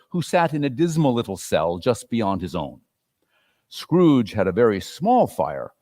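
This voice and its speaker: A man's voice: mid-range voice, darkish tone. A man narrating a classic story as a dramatic reading, with a theatrical, emphatic delivery. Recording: reverberant hall, live reading.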